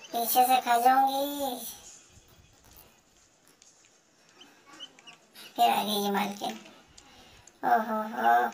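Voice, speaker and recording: A woman's voice: fairly high pitch, fairly even intonation, takes it slowly, gravelly, distant mic, slight background noise. A woman voicing a hungry, scheming female house cat, muttering plans to herself with lots of hums. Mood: hungry plotting. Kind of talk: comic pet voice-over.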